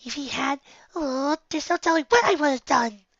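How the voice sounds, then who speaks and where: A timid pegasus mare, voiced by the storyteller, speaks in a high, breathy hush that hesitates over every word. The voice sits bright and close, with a slightly dull, lo-fi sound. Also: moderate pace, no echo. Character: shy, gentle female pegasus pony.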